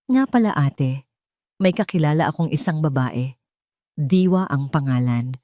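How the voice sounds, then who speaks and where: A woman acts out a scheming wife in an audio drama. She sounds sweet but deliberate and pointed, in a lowish voice on a very dry recording. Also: expressive, dark tone, no room sound. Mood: feigned casualness. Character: scheming wife, outwardly sweet, coolly probing.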